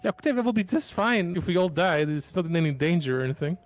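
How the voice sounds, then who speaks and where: A man's voice: a little high, expressive, fast, no echo. A man playing a tabletop roleplaying game, talking casually in character in a blunt, sarcastic way. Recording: music behind the voice.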